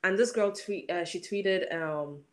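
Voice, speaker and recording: A woman's voice: fairly low pitch, a little slow. A woman, a guest on a podcast, chatting casually and arguing playfully, often repeating her questions. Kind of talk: casual chat.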